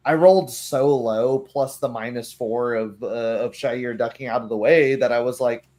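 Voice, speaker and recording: A man's voice: mid-range voice, steady tone, moderate pace, darkish tone. Guy game master just chatting about rules, kinda rambly, does little quoted bits in a mid-range voice. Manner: rambling, acts out imagined remarks. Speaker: game master of a tabletop roleplaying group. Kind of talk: casual chat about tabletop game rules.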